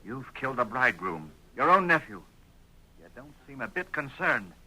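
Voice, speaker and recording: A bold young American cowboy in a vintage radio Western. His mid-pitched voice is very bright and sharp. He talks forcefully and challengingly, like a suitor set on revenge. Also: big pitch swings, normal speed, slightly rough, exaggerated voice, poor audio. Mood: angry, accusing. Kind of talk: old-time radio Western acting. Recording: vintage radio drama recording.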